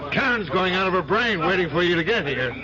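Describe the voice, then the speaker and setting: A man playing a hearty older law-firm boss hosting a party, booming and jovial, in a radio drama. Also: expressive, brisk, dull audio. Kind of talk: 1970s radio drama acting. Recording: party crowd chatter behind.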